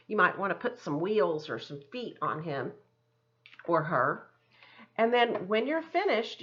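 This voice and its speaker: A woman's voice: medium pitch, a bit bright, muffled recording. A woman art teacher talking children through a craft step by step in a chatty, encouraging, casual way. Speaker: art teacher giving a demonstration.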